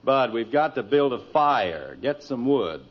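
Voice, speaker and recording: Lively, wry American father. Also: medium pitch, a little slow. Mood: exasperated. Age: middle-aged. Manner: wry, emphatic. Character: wry, impatient middle-aged suburban father. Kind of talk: 1940s-50s radio sitcom acting. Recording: muffled, faintly noisy vintage radio recording.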